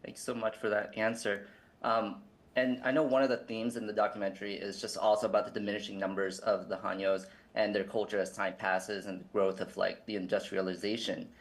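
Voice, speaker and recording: A man's voice: on the low side, level tone, a little fast. A man from the United States interviewing filmmakers, asking long, earnest questions with many fillers.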